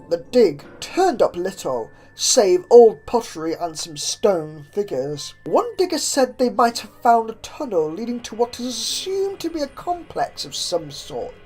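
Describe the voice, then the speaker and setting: A woman reading a horror story aloud as a narrator, with deliberate, theatrical emphasis. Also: a little high, warm tone, rough recording. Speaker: narrator reading fan fiction.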